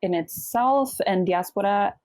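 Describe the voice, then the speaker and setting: A woman's voice: mid-range voice, measured pace, a little mellow, dry recording, clean studio sound. A young woman from Puerto Rico, an author guest, talking reflectively in a casual interview and sometimes searching for words.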